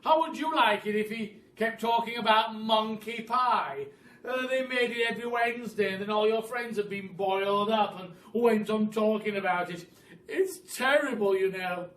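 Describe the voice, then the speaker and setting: A man voicing an excitable, pompous roly-poly bird in a children's storybook, exclaiming and repeating words urgently. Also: sits high, normal speed, voice pushed up. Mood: indignant. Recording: roomy, distant mic, faint hiss.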